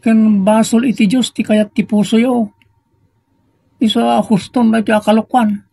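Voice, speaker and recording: A man acts out an indignant grown son in an Ilocano audio drama. His high, husky voice is insistent and scolding, with a dry recorded sound. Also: level tone, a little slow, mellow, dry recording, heavily acted. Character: indignant grown child confronting a wayward father. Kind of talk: Filipino audio drama acting.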